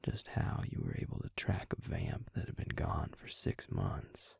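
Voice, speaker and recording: A man playing a gentle, protective vampire with a Southern US accent in an intimate audio roleplay, speaking in a breathy, gravelly, soothing half-whisper. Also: a little slow, dry recording, clean studio sound, natural voice. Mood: wry, curious. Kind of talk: intimate scripted audio roleplay, addressing the listener.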